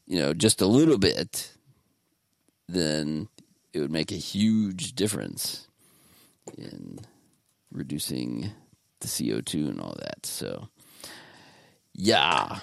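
A man's voice: rumbling low, sing-song, slow, vocal fry, long pauses, no echo, clean studio sound. A man hosting a podcast in casual chat, talking in a laid-back, meandering way. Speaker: podcast host.

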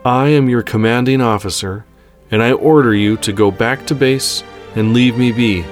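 A man's voice: mid-range voice, moderate pace, soft background music, pitched above the performer's own voice, lightly acted. A man voicing a feisty young female Jedi in a story, mocking and bold. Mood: commanding.

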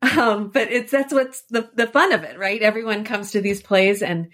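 Female expert guest, mid-pitched, explaining informally in an animated, conversational interview style. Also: normal speed. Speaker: expert guest, annotated edition editor.

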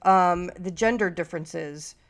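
A woman's voice: on the low side, measured pace. A middle-aged woman hosting a podcast, talking casually, nasal and stuffy from a cold, rambling with many fillers. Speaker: podcast host.

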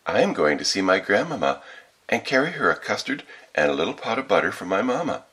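Man voicing a high-pitched innocent little girl. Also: big pitch swings, fairly quick, fairly bright tone, husky, exaggerated voice. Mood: innocent chatty. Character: innocent little village girl, sweet and naive.

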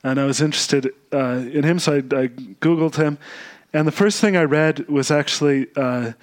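A man with a slightly higher voice, raised between Austria and the U.S., talks as a guest on a podcast about his own path. He is a monk, and he speaks thoughtfully, often pausing and circling back to find the right word. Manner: thoughtful, searching for words. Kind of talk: podcast interview.

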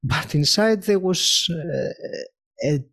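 A middle-aged man from Greece, a dentist and podcaster, answering interview questions reflectively and searching for words with many pauses and fillers. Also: a little high, gravelly, fairly bright tone, breathy edge. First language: Greek.

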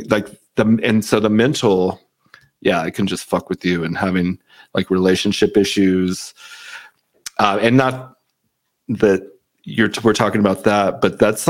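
Low-voiced guy rambling and cussing in a casual chat, jokey.

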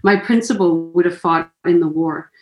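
A woman's voice: lowish voice, moderate pace, echoey room, rough recording. A German-born woman in her fifties, whose first language is German, talking through her thoughts in an interview with frequent restarts and fillers. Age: 50s. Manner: hesitant, many restarts and fillers. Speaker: author guest. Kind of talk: conversational interview.

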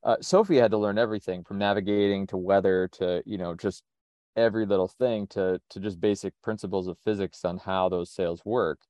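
A man from the United States, speaking in a relaxed conversational interview, thinking aloud with frequent restarts. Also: sits low, fairly quick, no echo, lo-fi sound. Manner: reflective, methodical, frequent restarts. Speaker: sailor and interview guest.

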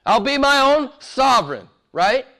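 Fervent high-voiced preacher man, hoarse. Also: big pitch swings, measured pace. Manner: fervent, swings from hushed to shouting. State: losing his voice from a cold. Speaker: pastor. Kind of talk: sermon.

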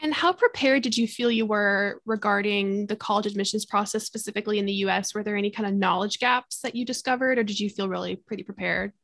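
A woman's voice: medium pitch, steady tone, fairly bright tone. A woman hosting a podcast, reading a polished intro and asking interview questions. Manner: brisk, polished delivery. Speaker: podcast host.

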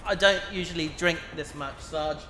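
Hesitant young male recruit for sci-fi audio drama: fairly high, bright tone, modest and sincere. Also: steady tone, normal speed, slight background noise. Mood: reluctant. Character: earnest, awkward young male recruit from wealthy family. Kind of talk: science fiction audio drama acting.

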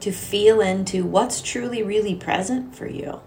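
A woman leading a guided meditation as a teacher, speaking in a gentle, soothing, unhurried way with long pauses. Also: medium pitch, steady tone, a little hiss or hum. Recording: soft music behind the voice. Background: music.

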